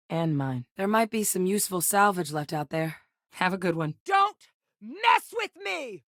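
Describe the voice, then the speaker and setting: A woman playing a seasoned ex-military scientist in a video game, steady and earnest, with a measured, serious delivery. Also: low voice, no echo, studio quality, natural voice. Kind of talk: video game character voice lines.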